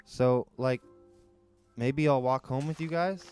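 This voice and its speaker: A man's voice: medium pitch, slow pace, darkish tone, clear, resonant tone, soft background music, pitched above the performer's own voice, lightly acted. A man voicing casual, sardonic teenage boys in a storybook reading. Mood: hesitant.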